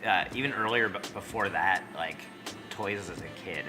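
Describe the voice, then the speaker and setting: A man's voice: sits low, moderate pace, music in the background. A middle-aged man asking questions and chatting casually as an interviewer.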